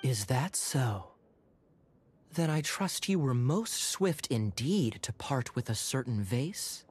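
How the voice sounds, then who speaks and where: A man voicing a suave, teasing young gentleman in a video game cutscene, smooth and sardonic. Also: medium pitch, very animated, takes it slowly, slight background noise. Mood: needling.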